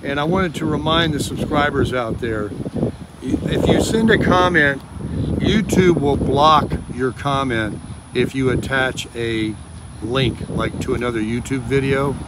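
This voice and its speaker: A man's voice: mid-range voice, unhurried. An American man vlogging casually, explaining things in a rambling, conversational way. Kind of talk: casual chat to camera. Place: in a car and outdoors in a parking lot. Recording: clear car and outdoor background noise.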